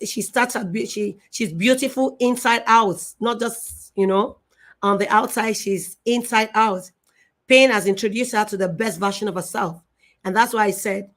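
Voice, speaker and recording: Effusive woman hosting a live online show. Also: mid-range voice. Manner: effusive and emotional, with repetition and restarts. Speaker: show host.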